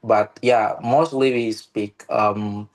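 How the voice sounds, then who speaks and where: Slow, halting Indonesian man. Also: lowish voice, steady tone. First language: Indonesian local language. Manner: halting, many "uh" pauses and restarts. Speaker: meeting participant. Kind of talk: casual online discussion. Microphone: video call.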